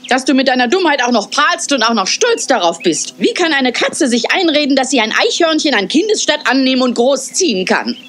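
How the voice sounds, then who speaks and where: A woman's voice: high-pitched, big pitch swings, brisk, hardly any pauses, a bit bright, full character voice. A woman voicing a gossipy, scornful female cat in a cartoon dub, sneering and mocking. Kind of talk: 1980s German cartoon dub.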